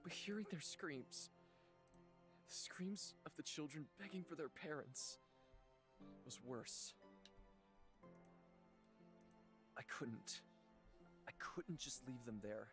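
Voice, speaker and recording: An actor voicing a gentle, weary immortal healer haunted by old suffering, speaking slowly and softly in a dramatic visual novel style. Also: male voice, mid-range voice. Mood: anguished, grave. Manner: slow, halting, soft-spoken confiding. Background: background music.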